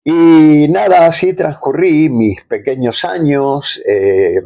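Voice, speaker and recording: An Argentine-accented man telling personal stories casually as an interview guest, pausing to find words and adding little check-in tags. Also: fairly high pitch, vocal fry, dark tone.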